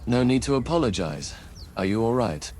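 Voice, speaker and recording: A man's voice: mid-range voice, sing-song, moderate pace, darkish tone, a little hiss or hum, lightly acted. A man playing a noble paladin hero in a fantasy game, polite, composed and firm. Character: noble, calm, righteous male paladin hero.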